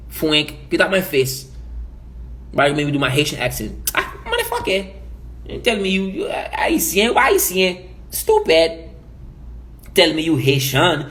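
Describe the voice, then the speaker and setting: A man's voice: fairly high pitch, a bit bright. A man from the Caribbean giving emphatic opinion commentary as a vlogger, preachy and repeating his points.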